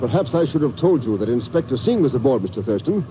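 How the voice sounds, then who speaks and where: An actor in a 1950s radio drama playing an earnest, worried ship's captain, frank and anxious in manner. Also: male voice, mid-range voice, big pitch swings, quick pace, faint music behind, natural voice. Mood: worried, defensive. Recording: muffled, faintly noisy old radio recording.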